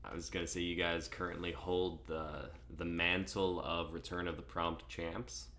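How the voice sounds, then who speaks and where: A man's voice: low-pitched, flat delivery, moderate pace, pushed and bright, slight background noise. A man in his twenties hosting a casual group livestream, joking loosely and swearing freely. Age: 20s. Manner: profane, loose and jokey. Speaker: livestream host.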